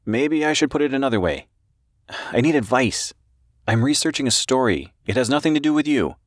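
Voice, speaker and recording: Man voicing a nervous, pleading reporter, pitched up. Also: expressive, dry recording, studio quality, lightly acted. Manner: hurried, apologetic, earnest. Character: nervous, pleading male newspaper reporter on a phone call. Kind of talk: audiobook character dialogue.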